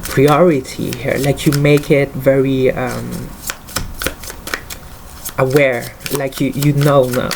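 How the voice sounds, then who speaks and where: A man's voice: mid-range voice, flat delivery, slow, gravelly, pauses often, background noise. A man with a French accent, a native French speaker, giving a casual tarot card reading in English, talking hesitantly with many fillers. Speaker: tarot reader.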